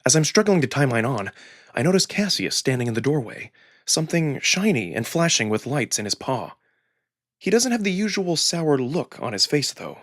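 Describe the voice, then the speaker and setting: A man with a husky voice reading first-person fiction aloud as a dramatic narrator. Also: mid-range voice, very animated, normal speed, fairly bright tone, no room sound, clean studio sound. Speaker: narrator and performer of a visual novel reading. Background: background music.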